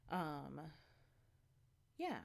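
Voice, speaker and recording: A woman's voice: low-pitched, big pitch swings, very slow, vocal fry. A woman talking casually in a video diary, a bit out of breath and congested, rambling with lots of ums and likes. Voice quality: congested, stuffy nose. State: slightly out of breath. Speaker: fitness vlogger.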